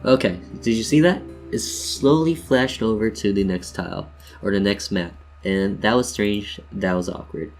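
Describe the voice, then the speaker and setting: A man's voice: on the low side, moderate pace, soft background music. A man hosting a tutorial in a casual, playful way, talking viewers through each step as if chatting with them. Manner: playful, jokey, addresses viewers as "you guys". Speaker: tutorial host. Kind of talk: casual instructional screen-share narration.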